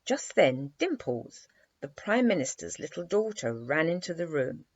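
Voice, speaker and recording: Woman reading a bedtime story, very lively pitch. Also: slightly rough, no room sound. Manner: animated, dramatized dialogue with measured storytelling. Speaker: storybook reader. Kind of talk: expressive children's bedtime story narration. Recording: dry, slightly dull lo-fi recording.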